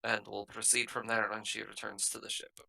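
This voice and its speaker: A man's voice: level tone, fairly quick, creaky, sharp tone. A man playing a tabletop roleplaying game, moving between firm in-character lines and casual table talk, plain-spoken and given to swearing. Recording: low-quality laptop group session.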